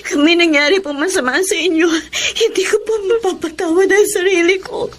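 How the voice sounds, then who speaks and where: Very high-pitched, tearful young daughter. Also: female voice, a little fast. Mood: tearful, remorseful. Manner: emotional, pleading. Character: remorseful young daughter and mother, tearful and pleading. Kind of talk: Filipino radio drama acting.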